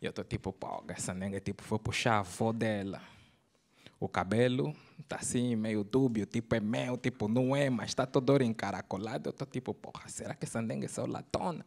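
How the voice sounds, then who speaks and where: A man in his thirties with an Angolan Portuguese accent, doing a stand-up set on a comedy club stage into a handheld mic, swinging from loud bursts to low slangy asides. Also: brisk, creaky. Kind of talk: stand-up routine.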